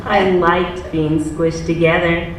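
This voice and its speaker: An actress in a live stage play playing a wistful, lovelorn middle-aged woman with a wry, measured delivery. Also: on the low side, little pitch movement. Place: theatre stage, distant microphone. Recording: very echoey distant theatre, clear noise.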